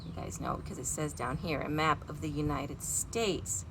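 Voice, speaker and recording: A woman's voice: sits low, moderate pace, fairly bright tone. A woman hosting a storytime for young children, chatting expressively and putting simple questions to her listeners. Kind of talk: read-aloud and chat to young children. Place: outdoors at home. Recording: outdoor background noise.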